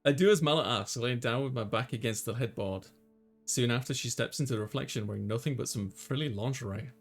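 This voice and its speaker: A man voicing an easygoing young student who narrates a visual novel in the first person, measured and conversational. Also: mid-range voice, vocal fry, soft background music. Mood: descriptive.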